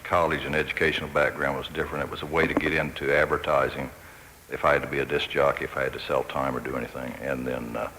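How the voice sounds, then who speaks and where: A man's voice: extremely low pitch, little pitch movement, fast, sharp tone. A middle-aged man reminiscing as a guest in a radio interview, telling it matter-of-factly. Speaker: interview guest, ad agency head. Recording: faintly noisy radio aircheck.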